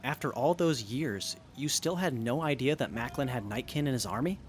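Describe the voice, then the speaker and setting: A man's voice: medium pitch, expressive, normal speed, natural voice. An actor playing a confident male engineer explaining his inventions in an audio drama. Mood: wary. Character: male engineer who builds gadgets, keen and confident.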